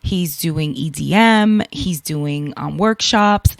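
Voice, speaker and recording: A woman from the United States hosting a casual podcast interview, excitable and gushing, with many fillers. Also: low-pitched, slow pace, gravelly, no room sound, clean studio sound. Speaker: podcast host.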